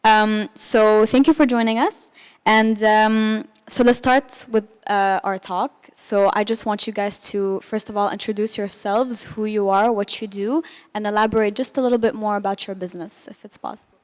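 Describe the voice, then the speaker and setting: A woman's voice: medium pitch, fairly even intonation, normal speed, clear, resonant tone, big-room sound. A woman in her twenties, raised in Belgium, moderating a panel talk in an upbeat, polite way. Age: 20s. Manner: upbeat and polite, introduces speakers. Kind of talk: panel discussion hosting.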